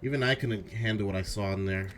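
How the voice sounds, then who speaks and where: A man's voice: fairly low pitch, fast, a bit bright, slight background noise, close to an everyday voice. A man voicing an earnest, anguished teenage schoolboy protagonist in a fan dub of a video game. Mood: hurt, brooding. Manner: earnest, emotional.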